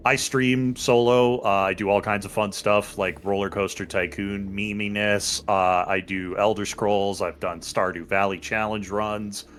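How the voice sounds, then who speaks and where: An easygoing man with a lowish voice introduces himself as a player, with lots of hesitating fillers. A faint hiss sits under his voice. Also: fairly bright tone. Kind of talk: casual stream outro.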